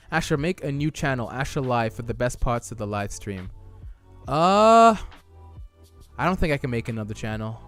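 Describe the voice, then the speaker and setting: A young man live-streaming, reading viewer chat aloud and answering it casually, his voice wearing out from a long stream. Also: mid-range voice. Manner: chatty, reads chat aloud and answers it, loose restarts. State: losing his voice.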